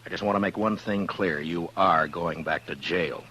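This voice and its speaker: Very deep, hard-edged Old West lawman. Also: sharp tone. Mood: stern, firm. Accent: American. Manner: terse, measured, authoritative. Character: stern, steady, world-weary lawman of an Old West town. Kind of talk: 1950s radio western acting. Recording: noisy vintage radio recording with hum.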